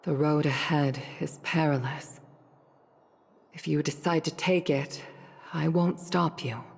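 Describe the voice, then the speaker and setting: A woman acting a dignified, caring mature female official in a video game, speaking in a solemn, deliberate and restrained way. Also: sits low, creaky, breathy edge, full character voice. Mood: grave, supportive. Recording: long reverberant hall echo.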